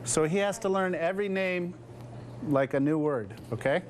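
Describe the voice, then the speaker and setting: A man's voice: fairly high pitch, a little hiss or hum. American man teaching beginners. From United States. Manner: slow, simple, emphatic speech for language learners. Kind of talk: classroom teaching. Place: classroom.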